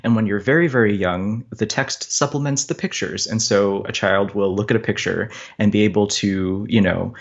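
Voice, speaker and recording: A man's voice: fairly low pitch, moderate pace, gravelly, hardly any pauses, dull audio. A man talking as a guest cartoonist in a casual, thoughtful interview, explaining things in an articulate, chatty way. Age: adult.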